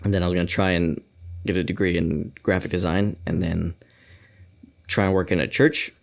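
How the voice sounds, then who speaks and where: A man's voice: low voice, normal speed, gravelly. A man in his twenties from Colorado, a podcast guest chatting casually with some rambling and laughs. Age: 20s. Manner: relaxed, rambling, laughs often. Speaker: podcast guest, church graphic designer.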